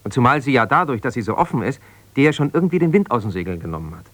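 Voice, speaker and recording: An actor playing an aggrieved, jealous husband, an ambitious lawyer, in a naturalistic radio drama, talking in a halting, colloquial, self-justifying way as if confiding on the phone. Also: male voice, mid-range voice, sing-song, fairly bright tone, no echo. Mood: resigned, frustrated.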